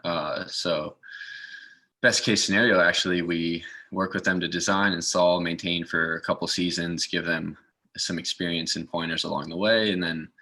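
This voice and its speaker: A man's voice: sits low, steady tone, moderate pace, pushed and bright. A man from the northeastern United States speaking as a guest in an informal interview, explaining things. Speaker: guest speaker, garden maintenance assistant.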